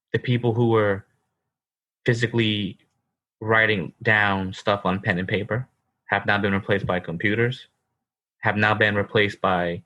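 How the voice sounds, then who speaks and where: Dude in his 30s on a podcast, kinda low voice, says bro a lot, very sure of himself. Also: bright, forceful tone, muffled recording. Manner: emphatic, calls the other host bro. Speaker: podcast co-host. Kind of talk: casual chat.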